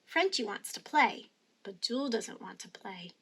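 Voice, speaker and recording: A woman's voice: mid-range voice, animated, measured pace, fairly bright tone, breathy edge. A woman reading a picture book aloud expressively for young children, with careful, teacherly phrasing. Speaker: storybook reader.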